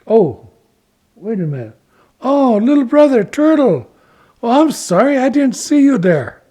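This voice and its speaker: A man's voice: very high voice, big pitch swings, measured pace, dark tone, pitched above the performer's own voice, heavily acted. A man playing an eager, boastful would-be warrior who exclaims and coaxes as he talks, in a told folk story. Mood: excited, coaxing. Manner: exclaiming, coaxing, bragging.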